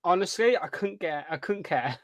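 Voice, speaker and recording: Higher-voiced young British man, close and dry. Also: expressive, no room sound. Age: young adult. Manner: halting, earnest explaining. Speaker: podcast co-host. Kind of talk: casual chat.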